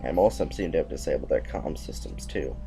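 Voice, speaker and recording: A dutiful, socially awkward military aide voiced by a man in an audio drama. The voice is deep and gravelly with lively swings in pitch, formal and hesitant, with noise and hum behind it. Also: quick pace, faint music behind. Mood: dry, glum.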